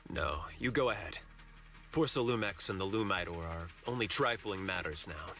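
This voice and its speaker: A man's voice: sits low, animated, moderate pace, fairly bright tone, faint music behind. A man voicing a self-important, self-styled martial arts mystic in a video game, with pompous, theatrical delivery. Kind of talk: English video game voice acting.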